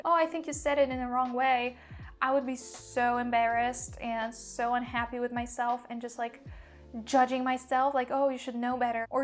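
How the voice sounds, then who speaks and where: A young woman with a slight Polish accent, a language mentor talking earnestly about her own learning, with lots of "like" fillers. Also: sits high. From Poland. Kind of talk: personal vlog monologue.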